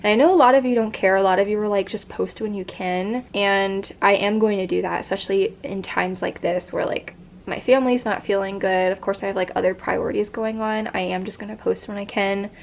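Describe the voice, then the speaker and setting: A woman's voice: mid-range voice, steady tone, brisk, vocal fry. A young woman vlogging in a casual chat, congested and stuffy, with a sore throat, talking in a rambling way full of fillers. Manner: chatty, many fillers like "like" and "um".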